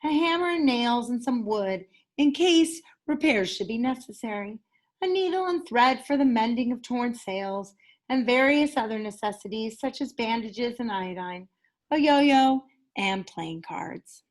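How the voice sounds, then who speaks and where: A woman with a fairly high voice reads a picture book to children. Her delivery is enthusiastic and expressive, placing dramatic emphasis on key words in the manner of a teacher at story time. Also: a little slow.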